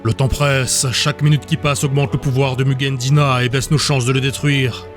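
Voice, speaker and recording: A self-assured, persuasive man , played by a male reader, argues solemnly in a level, medium-pitched voice. He is a cold, insistent fantasy audiobook figure, heard over music. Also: flat delivery, normal speed, close to an everyday voice. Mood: solemn insistence. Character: cold, persuasive man claiming he alone can save the world. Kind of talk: dramatic audiobook dialogue.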